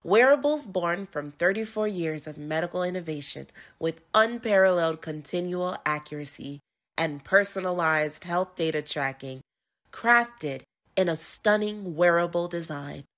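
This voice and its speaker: A woman's voice: fairly low pitch, a bit bright, lo-fi sound. A woman voice-over artist reading scripted commercial narration.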